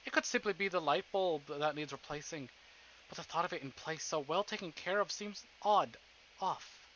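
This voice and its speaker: A man's voice: on the high side, moderate pace, a bit bright, dull audio, slight background noise. A man streaming a visual novel, reading it aloud theatrically with playful, casual asides.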